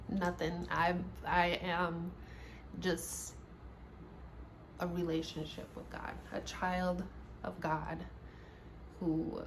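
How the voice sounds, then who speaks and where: Late-20s woman doing a chill q&a vlog, kinda low voice, laughs a lot, little bit of background hiss. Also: creaky. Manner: chatty, laughs often, restarts sentences. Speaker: YouTuber. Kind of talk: casual sit-down Q&A vlog. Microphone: camera mic, seated at home.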